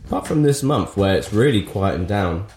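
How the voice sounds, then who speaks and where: Deep-voiced sniffly man in an echoey room. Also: animated, moderate pace, darkish tone. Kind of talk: casual podcast chat. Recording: echoey room with faint noise.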